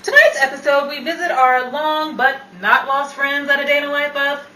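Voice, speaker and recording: A woman's voice: high voice, steady tone, moderate pace, sharp tone. A woman announcing a radio drama in a scripted 1940s old-time radio style. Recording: echoey room, distant mic, faint noise.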